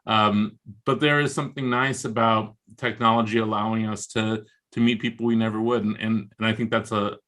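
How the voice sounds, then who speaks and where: Wry American man, bright voice. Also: on the low side, flat delivery, fairly quick. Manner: wry, comically exaggerating, self-deprecating. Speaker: guest author. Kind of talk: casual video interview. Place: indoors on a video call. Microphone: video call.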